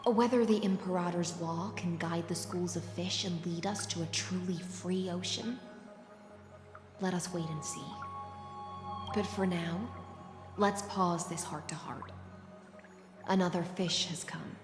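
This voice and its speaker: A woman playing a composed, ominous noblewoman from the sea in a game cutscene, speaking in a measured, formal, cryptic way. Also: on the low side, fairly even intonation, natural voice. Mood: brooding, calm. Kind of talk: dramatic game cutscene acting. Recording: echoey reverberant hall sound. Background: music.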